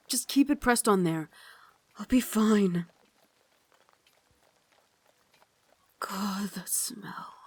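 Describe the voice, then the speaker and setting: A woman playing a refined, tender but tormented Victorian vampire lady in an ASMR roleplay, speaking in a formal, genteel way with breathy asides. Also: medium pitch, no echo. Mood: tense, stern. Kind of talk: ASMR roleplay, dramatic monologue.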